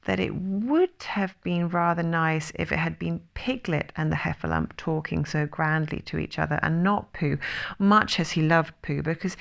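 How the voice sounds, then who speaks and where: A woman narrating a children's storybook in a measured, expressive storytelling manner. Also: fairly low pitch, creaky, lo-fi sound. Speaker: audiobook reader.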